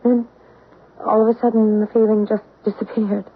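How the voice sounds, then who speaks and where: A woman's voice: mid-range voice, flat delivery, moderate pace, breathy edge. An actress playing a worried, emotional wife and mother in a 1970s radio drama. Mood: anxious. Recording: tinny 1970s radio broadcast with faint noise.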